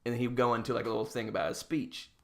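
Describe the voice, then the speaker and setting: A man's voice: mid-range voice, flat delivery, very fast, fairly bright tone. A young man co-hosting a podcast, telling anecdotes casually with a jokey, mildly sarcastic manner. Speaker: podcast co-host.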